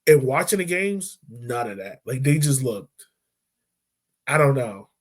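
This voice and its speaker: A man hosting a casual football podcast, talking in a relaxed, chatty way and laughing easily. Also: medium pitch, a little slow. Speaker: podcast host. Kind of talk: casual sports chat.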